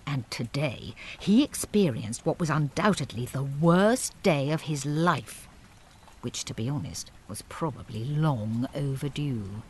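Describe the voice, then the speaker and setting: A man's voice: medium pitch, expressive, unhurried, noisy background, natural voice. A man playing a wry, confiding narrator of a village chronicle in an audio drama, drily apologetic.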